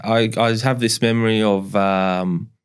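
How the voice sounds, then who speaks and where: A man's voice: on the low side, fairly even intonation, a little slow, smooth. A young man telling his story as a podcast guest in casual chat, repeating words and laughing often. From grew up partly in Lebanon.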